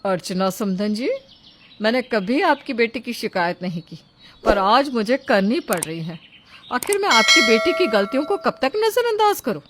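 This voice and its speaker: A woman playing a scolding, domineering middle-aged mother-in-law in a dramatized Hindi moral story, with sharp, accusing delivery. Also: fairly high pitch, big pitch swings, normal speed, very noisy. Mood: complaining.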